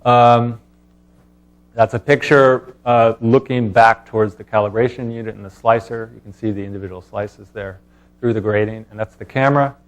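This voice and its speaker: A man presenting as a scientist at a conference, speaking in a halting way with pauses mid-thought. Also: mid-range voice, fairly even intonation. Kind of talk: conference talk and question answering.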